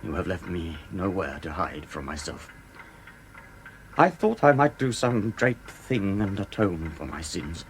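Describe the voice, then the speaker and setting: A man's voice: low voice, sing-song, measured pace, a little hiss or hum, exaggerated voice, poor audio. An actor playing a tormented, guilty murderer in his thirties, anguished yet defiant, speaking earnestly and declamatorily in a radio drama. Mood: despairing resolve. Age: 30s. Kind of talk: dramatic British radio play acting.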